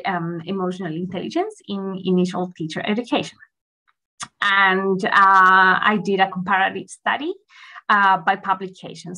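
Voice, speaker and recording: A woman's voice: medium pitch, bright, forceful tone, poor audio. A woman from Chile in her thirties giving a friendly, chatty guest lecture over a video call, with frequent ums. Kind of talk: online academic presentation.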